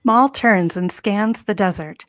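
A woman's voice: mid-range voice, measured pace, fairly bright tone, no room sound. A woman reading scripted stage directions as narrator of a comedy table read. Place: home room. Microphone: home video call.

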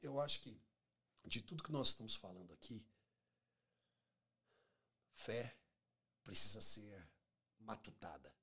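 A man's voice: fairly low pitch, lively intonation, unhurried, vocal fry, pauses often, bright, forceful tone, breathy edge. A Brazilian man in his forties, a pastor talking in a conversational preaching style, emphatic, repeating phrases and acting out bits of dialogue. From Minas Gerais, Brazil. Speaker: pastor, podcast guest. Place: small podcast studio. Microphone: close studio microphone on table stand.

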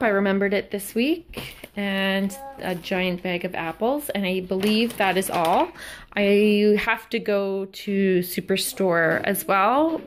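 A young woman talking casually and a little hesitantly as she narrates a home vlog. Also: mid-range voice. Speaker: vlogger. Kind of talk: casual grocery haul narration, unscripted. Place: indoors at home. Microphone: handheld camera, held by speaker. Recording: clear background noise, handling clicks.

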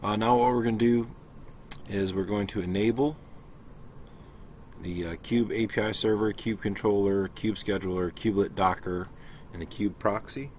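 A man giving an informal screen-share software walkthrough, hesitant and thinking aloud as he goes. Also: fairly low pitch, moderate pace. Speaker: software tutorial presenter. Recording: clear steady background noise.

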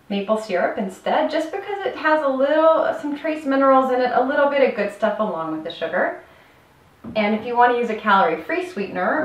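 Upbeat woman coach in an echoey kitchen. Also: mid-range voice. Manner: upbeat, instructional, addresses viewer directly. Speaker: health coach. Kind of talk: cooking demonstration. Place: home kitchen, indoors. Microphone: camera mic at a distance. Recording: roomy kitchen from a distance.

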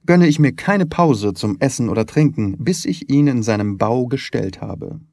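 Man acting gentleman detective, confident, wry, articulate, courteous, audiobook character, medium pitch. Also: expressive, normal speed, vocal fry, dry recording, studio quality. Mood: confident, reassuring.

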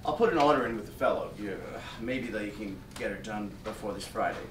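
Male actor as harried on-call doctor, blunt and halting, stage drama, recorded from afar with clear noise. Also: fairly low pitch, expressive, reverberant, far from the mic, natural voice. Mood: pressing, matter-of-fact. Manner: halting, pressing with questions. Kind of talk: staged play reading. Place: theatre stage, indoors. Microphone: far camera.

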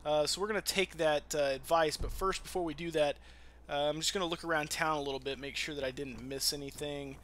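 A man's voice: on the high side, steady tone, a little fast, fairly bright tone, slight background noise. A man hosting a gaming channel, giving casual, rambling let's-play commentary with informal, sweary talk. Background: game music.

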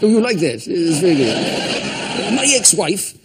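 A man voicing a bumbling, pompous older prince with an upper-class English accent, halting and tetchy, in a comedy voice-over. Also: high voice, very animated. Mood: awkwardly jokey. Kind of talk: improvised comedy voice-over of newsreel footage. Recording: noisy TV studio sound with audience.

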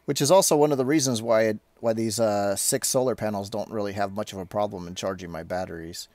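A man giving a casual hands-on update as a video host, talking in an informal, halting, rambling way. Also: lowish voice, a little mellow, no room sound. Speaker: tech video maker, host.

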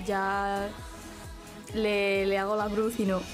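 A woman's voice: mid-range voice, monotone, slow. A nineteen-year-old woman from Madrid, talking bluntly and frankly on a dinner date. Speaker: dating show contestant. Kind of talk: casual conversation on a dinner date. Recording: TV clip with background music.

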